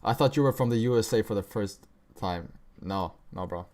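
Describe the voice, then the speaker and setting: A young man streams live, his speech carrying an American-sounding accent and a slightly low voice. He talks casually and slangily through trading setups, tossing in "bro" and "right?" as he goes. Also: normal speed, darkish tone. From Kosovo.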